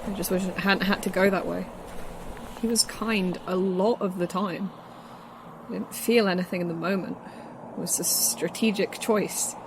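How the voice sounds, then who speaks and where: A woman's voice: medium pitch, natural voice. A woman playing a weary, pragmatic staff member in a sci-fi horror audio drama, subdued and matter-of-fact. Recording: loud vehicle and ambient noise.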